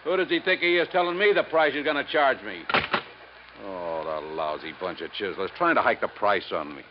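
An actor playing a shady, harried American store owner in a 1950s radio drama, brash, slangy and impatient. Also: male voice, on the low side, expressive, brisk, natural voice. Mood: indignant. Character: shady, blustering, harried cut-rate mattress store owner. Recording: muffled, hissy 1950s radio recording.

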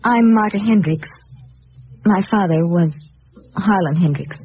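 A woman's voice: mid-range voice, a little slow, husky. An actress playing an earnest, guarded young woman in a 1940s radio drama. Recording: tinny old radio recording.

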